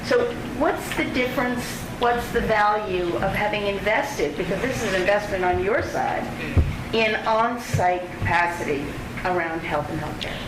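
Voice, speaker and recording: A woman moderating a panel discussion, measured, laying out options before asking a question. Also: mid-range voice. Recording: distant mic in an echoey noisy room.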